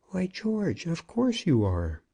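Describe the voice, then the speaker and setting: A man's voice: fairly high pitch, wildly swooping pitch, slow pace, creaky, warm tone, breathy edge, pitched above the performer's own voice, full character voice. A man voicing an old, evasive shopkeeper in a piteous, pleading manner for an audiobook. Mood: evasive, querulous. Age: older. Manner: piteous, wheedling. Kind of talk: audiobook character acting.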